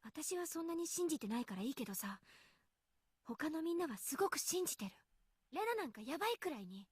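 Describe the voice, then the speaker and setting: A woman's voice: sits high, big pitch swings, very fast, dry recording, studio quality. An actress voicing a brash teenage tomboy girl in a game, talking hesitantly and stammering. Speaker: game character.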